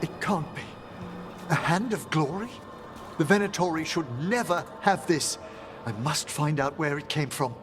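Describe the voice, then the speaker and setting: A man's voice: on the high side, lively intonation, a little slow, music in the background. A man playing a middle-aged scholarly necromancer in a video game, formal, eloquent and polite, proud of his expertise. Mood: eager, gracious.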